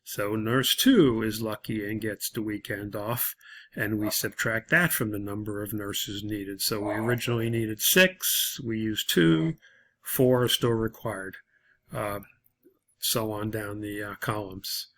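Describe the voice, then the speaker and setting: A man's voice: fairly low pitch, unhurried, fairly bright tone. A man giving an informal video lecture as a college instructor, explaining worked problems in a loosely improvised way with asides.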